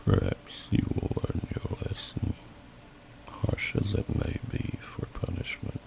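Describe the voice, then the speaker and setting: A man voicing a gentle, wise old male dragon in slow, formal, archaic speech for an ASMR fantasy roleplay, with a growling, breathy voice. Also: lightly acted. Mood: kindly, reflective. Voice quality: very deep, gravelly, breathy growl. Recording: noisy amateur home recording.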